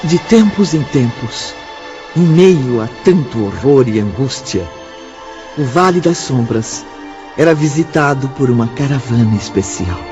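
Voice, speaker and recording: A man's voice: medium pitch, expressive, unhurried, lightly acted. A Brazilian man narrating a radio novel as a solemn storyteller, in a dull recording with music behind him. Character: solemn storyteller of a spiritual tragedy.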